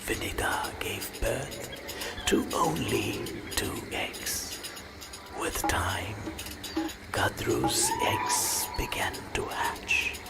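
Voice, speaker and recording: Slow, whispery ancient god. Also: male voice, lowish voice, wildly swooping pitch, heavily acted. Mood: solemn, measured. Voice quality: breathy, whispery. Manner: solemn, measured storytelling. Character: ancient serene male god narrating mythic tales. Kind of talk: scripted mythic narration in a video game. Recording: loud music under the voice.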